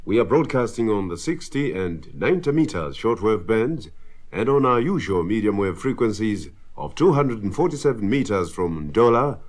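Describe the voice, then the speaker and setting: A man giving a formal scripted station identification as a radio announcer, on a restored 1960s shortwave recording. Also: fairly low pitch.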